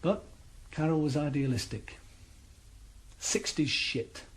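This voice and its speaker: Calm, threatening crime boss; understated radio-play menace. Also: male voice, fairly high pitch, slow pace. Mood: menacing. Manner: menacing, matter-of-fact. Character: cold, menacing middle-aged male crime boss. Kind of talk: radio drama acting.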